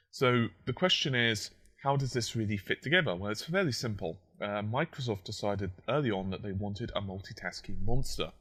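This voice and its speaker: A man with a croaky, just-woken voice, explaining technology in a solo monologue, with fillers and self-corrections. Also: on the low side, pushed and bright. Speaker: tech commentator.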